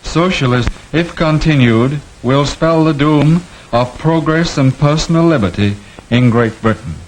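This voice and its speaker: A man reading quotations aloud in a 1950s documentary, with a declamatory, expressive delivery. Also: on the high side, measured pace, slight background noise, rough recording. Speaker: reader of quotations.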